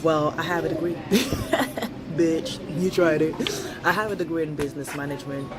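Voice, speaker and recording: A woman's voice: low voice, normal speed, very noisy. Thirty-something woman from Switzerland, Swiss French accent with a British tinge, French native speaker; a blunt, laughing, foul-mouthed vlog host. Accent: Swiss French, with a touch of British. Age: 30s. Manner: blunt, chatty, laughs often, swears freely. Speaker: vlogger. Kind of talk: casual opinion vlog.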